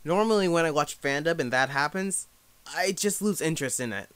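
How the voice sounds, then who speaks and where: A man's voice: a little high, animated, brisk, a bit bright, clean tone, no room sound. A young man giving a casual, informal tutorial as an amateur, talking in a self-deprecating way. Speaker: amateur fan dub creator giving a tutorial.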